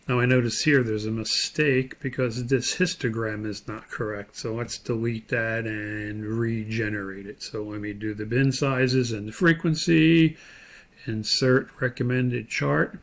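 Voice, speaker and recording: Male course instructor, medium pitch, unscripted screen-share walkthrough, informal explanatory delivery with frequent pauses. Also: a bit bright. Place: indoors, voice-over for screen recording.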